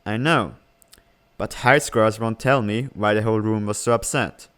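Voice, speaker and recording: A slightly low male voice for a calm, analytical young academy man, acted by a man. He talks in a measured, matter-of-fact way, as in a comic drama. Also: very noisy, natural voice. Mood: calm, pensive. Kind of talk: animated comic fan dub.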